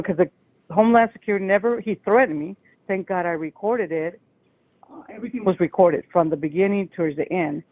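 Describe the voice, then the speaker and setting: Rambling woman caller on a phone line. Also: fairly low pitch. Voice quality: loud voice. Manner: rambling, agitated storytelling. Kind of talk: call-in show phone call.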